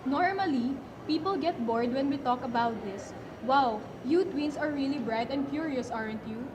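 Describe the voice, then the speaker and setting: A woman giving a halting scripted explanation as a presenter in a puppet show. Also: high-pitched, muffled recording. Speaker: student presenter playing a doctor. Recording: clearly noisy amateur recording.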